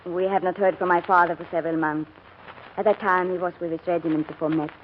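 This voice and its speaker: A woman's voice: fairly low pitch, steady tone, fast, phone-quality sound, lightly acted. Through a thin, slightly noisy old broadcast comes a woman acting a young Frenchwoman. She is earnest and guarded, speaking in the manner of 1940s radio drama. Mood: solemn.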